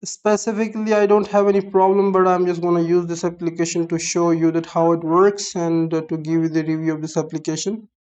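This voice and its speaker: A young man with a Pakistani accent walks through an app tutorial, his voice smooth and clear. He keeps a flat, even tone, and his explanations come in halting bits that often open with "so". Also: a little high. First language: Urdu. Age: young adult. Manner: halting, explanatory, starts many phrases with "so". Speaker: app reviewer. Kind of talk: screen-recorded app tutorial.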